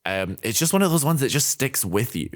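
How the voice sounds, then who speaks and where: A man chatting casually on a recap podcast. His pitch swings widely, and he keeps a playful, animated tone throughout. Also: brisk. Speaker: podcast co-host.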